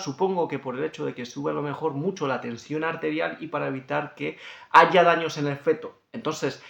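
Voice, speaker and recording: This is a man with a European Spanish accent and a fairly high, noticeably creaky voice. He explains things informally, like a coach instructing listeners, running his sentences on and circling back to reminders. The room around him is clearly echoey. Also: a bit bright, lo-fi sound. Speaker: fitness coach presenting a training guide. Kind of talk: informal explanatory talk to camera.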